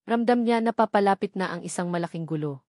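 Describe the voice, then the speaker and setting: A woman narrates a scripted dramatic story. Her voice sits at an average pitch for a woman. The recording is very dry, with no audible room around her. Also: a little fast, darkish tone, dry recording.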